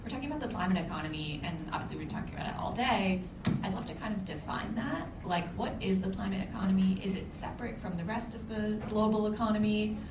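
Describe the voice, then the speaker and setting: A woman's voice: medium pitch, fast talker, noisy background. Chatty woman moderating a panel. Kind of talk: panel discussion. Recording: echoey room with loud music.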